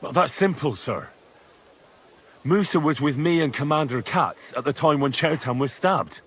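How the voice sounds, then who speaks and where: An actor playing a young, common medieval soldier, frank and matter-of-fact, in a dramatic video game. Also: male voice, a little high, moderate pace, a bit bright, husky. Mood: frank, assured.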